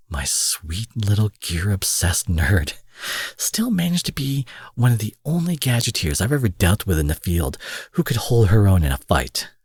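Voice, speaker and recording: Man acting a deep, husky, possessive supervillain. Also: dry recording, full character voice. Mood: indignant, protective. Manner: theatrical, coaxing, dropping from forceful to breathy murmurs. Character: smooth protective male supervillain courting his captive rival. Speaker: audio roleplay performer. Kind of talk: dramatic intimate audio roleplay monologue.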